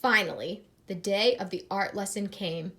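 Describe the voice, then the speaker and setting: A woman reading a picture book aloud in an expressive, teacherly way, pausing to address young listeners with questions. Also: medium pitch, slight background noise. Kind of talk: expressive children's read-aloud with asides and questions.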